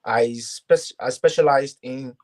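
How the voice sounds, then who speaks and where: A man's voice: medium pitch, darkish tone. A young man from Ghana, talking earnestly as a guest in a casual interview, with frequent restarts. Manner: earnest, halting, many restarts.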